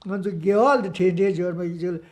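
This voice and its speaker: A male Buddhist teacher delivers a religious teaching. His voice is very high for a man, with a soft, mellow and rather dark tone. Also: rapid-fire.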